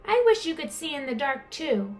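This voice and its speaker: An innocent young girl bat from a storybook, voiced by a woman. She sounds squeaky and high, earnest and excitable, with swooping pitch over faint music. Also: normal speed. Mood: relieved, wistful. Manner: squeaky, wide-eyed.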